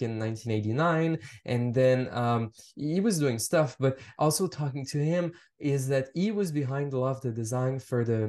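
A man's voice: medium pitch, darkish tone. French Canadian man, reflective and hesitant. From Montreal, French Canada. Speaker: fashion researcher and collector. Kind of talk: casual podcast conversation.

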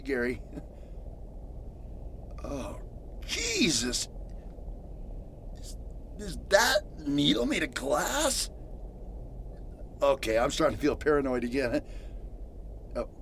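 A man's voice: mid-range voice, big pitch swings, unhurried, vocal fry, long pauses, fairly bright tone, slight background noise, full character voice. An actor playing a nervous, paranoid male patient who stammers and rambles, in old-time radio horror style. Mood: apologetic, paranoid. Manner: stammering, jittery, chatty.

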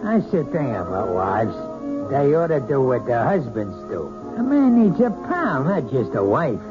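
An actor playing George, a bullying, sneering husband in a 1970s radio drama, mocking and menacing. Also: male voice, medium pitch, very animated, a little slow. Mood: grumbling. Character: brutish bullying middle-aged husband George. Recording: dull vintage radio broadcast audio with music.

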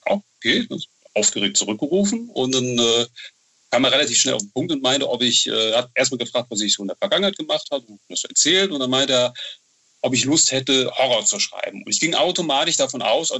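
A man's voice: mid-range voice, expressive, fairly quick, bright, forceful tone. A man in his thirties chatting casually as an interview guest, often tagging his phrases with a questioning filler. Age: 30s. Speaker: horror novel author, interview guest.

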